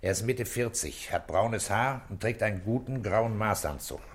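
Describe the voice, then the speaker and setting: A brooding police inspector played by a man speaks in a lowish register. He is terse and deliberate, used to giving orders, yet caring underneath, in the manner of a 1960s radio play. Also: expressive, lightly acted. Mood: brisk, commanding. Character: brooding, dutiful male police inspector, gruff but caring.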